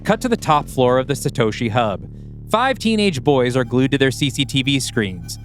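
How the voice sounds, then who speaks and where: A man's voice: mid-range voice, expressive, normal speed, soft background music. A man hosting a podcast, reading scripted scene directions as the narrator of an audio play.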